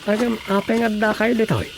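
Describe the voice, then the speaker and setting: An actress playing a bumbling, bewildered frog catcher, colloquial and exclamatory, in a Filipino comedy radio drama. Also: female voice, mid-range voice, flat delivery, quick pace, soft background music, lightly acted.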